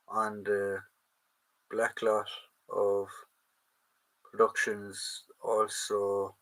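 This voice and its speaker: Chatty Irish man, bright tone. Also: on the low side, rough recording. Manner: chatty, reads out viewer comments, trails off and restarts. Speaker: host of a live chat. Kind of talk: casual live-stream Q&A reading chat comments.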